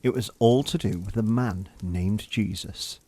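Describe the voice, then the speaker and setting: A man's voice: on the low side, measured pace, dark tone, a little hiss or hum. A man narrating a scripted story for children.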